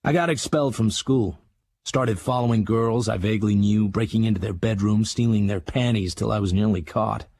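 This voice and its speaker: Low, gravelly, haunted American crime writer. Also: male voice, moderate pace, darkish tone, no room sound. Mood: bleak, confessional. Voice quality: gravelly, husky. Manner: terse, brooding, confessional. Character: haunted, obsessive middle-aged male crime writer. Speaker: narrator and lead actor. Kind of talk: scripted first-person crime memoir narration.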